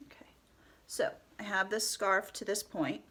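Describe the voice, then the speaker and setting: A woman with a stuffy nose from a cold, calmly talking through a step-by-step tutorial and often dropping to a soft whisper. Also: medium pitch, slightly rough. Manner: calm, unhurried, often drops to a soft whisper. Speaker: head wrap tutorial host.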